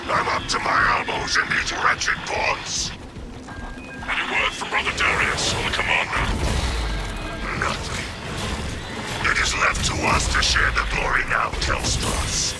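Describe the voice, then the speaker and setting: An actor playing a ferocious, battle-hungry space marine in a video game, with a gruff, theatrical, defiant delivery. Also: male voice, a little slow, natural voice. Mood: frustrated, defiant. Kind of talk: dramatic video game voice acting. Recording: loud music and engine rumble behind. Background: loud score, vehicle rumble.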